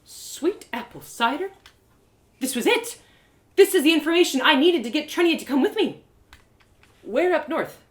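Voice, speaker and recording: A woman voicing an excitable, warm-hearted young heroine in an audio drama, gushing and impulsive. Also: sits high, normal speed, long pauses, voice pushed up. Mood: excited. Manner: gushing, impulsive, self-talking. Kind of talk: animated first-person audio drama. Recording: roomy, echoey live reading.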